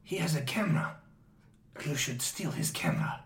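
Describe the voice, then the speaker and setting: Woman as gravelly, bossy voice inside a head. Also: medium pitch, sing-song, normal speed, far from the mic. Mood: scolding. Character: bossy, scolding inner voice inside George's brain. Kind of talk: improvised comedy role-play. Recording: echoey room with crowd sound.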